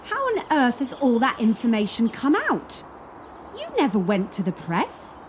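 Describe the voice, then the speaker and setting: A woman's voice: fairly high pitch, wildly swooping pitch, a little slow, a little hiss or hum. A young woman playing a wary, wounded gymnast in a radio play, with a wry, self-mocking and wistful manner. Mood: wry, doubting.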